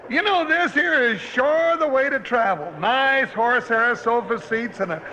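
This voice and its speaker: An actor playing a folksy, portly, good-natured cowboy sidekick in an old radio western. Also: male voice, very high-pitched, unhurried, sharp tone, full character voice. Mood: sweet-talking. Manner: folksy drawling turns of phrase. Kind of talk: 1950s radio western acting. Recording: muffled, noisy old radio recording.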